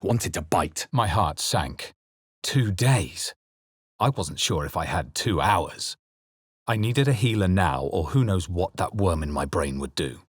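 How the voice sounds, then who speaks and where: A man playing a grave, introspective adventurer hero in a fantasy video game, speaking in a measured, dramatic way. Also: sits low, lively intonation, breathy edge, no echo, studio quality, full character voice. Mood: anxious, determined. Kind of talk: scripted video game narration and character lines.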